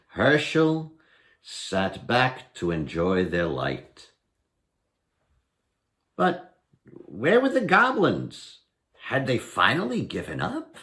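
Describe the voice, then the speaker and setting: Man in his 60s, story reader, slow and dramatic with very lively intonation. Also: on the low side. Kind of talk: expressive storybook narration. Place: room at home, indoors.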